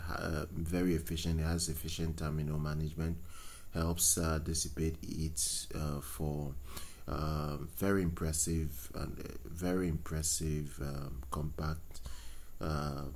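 Man, very low voice, flat delivery, lots of ums and restarts, tech vlog voice-over, slight background hiss. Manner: halting, many fillers and restarts. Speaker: tech channel host. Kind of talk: unscripted product news talk. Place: voice-over on screen recording.

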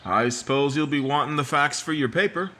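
A man's voice: on the high side, a little fast, bright, forceful tone, slight background noise. An actor playing a patient small-town sheriff in a 1950s-style radio mystery, drawling and hesitating with "uh" and "mm" as he questions a witness. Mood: probing. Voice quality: husky, drawling with "uh" and "mm".

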